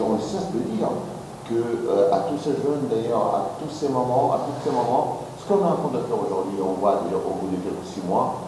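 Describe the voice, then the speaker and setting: Male guest, lower voice, animated and repetitive, heard in a reverberant hall. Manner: animated, repeats and restarts words. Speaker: association vice-president, guest. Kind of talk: interview answers. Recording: roomy hall reverb, distant mic, background noise.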